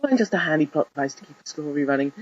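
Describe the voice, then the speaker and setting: A reader voicing a kind, calm mother in a soft, soothing voice. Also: mid-range voice, rapid-fire, highs cut off, natural voice. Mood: gentle.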